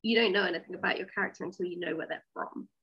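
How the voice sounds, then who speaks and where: Female interview guest, husky voice, conversational, step-by-step explaining, rhetorical questions, slightly dull lo-fi audio. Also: on the low side, steady tone, very fast.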